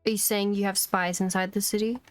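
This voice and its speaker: A woman's voice: medium pitch, little pitch movement, a little fast, faint music behind. A woman game streamer, reading dialogue aloud and talking to her stream.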